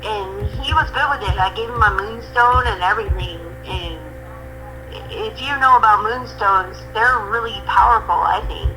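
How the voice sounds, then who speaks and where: A woman's voice: medium pitch, normal speed, sharp tone, low-quality recording. Forties woman calling in, rambly and blunt, swears a lot, music going behind her. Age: 40s. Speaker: caller. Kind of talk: casual call-in chat.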